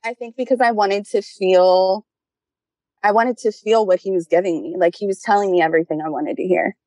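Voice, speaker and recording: A woman's voice: mid-range voice, brisk, mellow, no echo. A young adult woman calling in for advice, giving a casual, conversational account of her personal life. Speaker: caller seeking relationship advice.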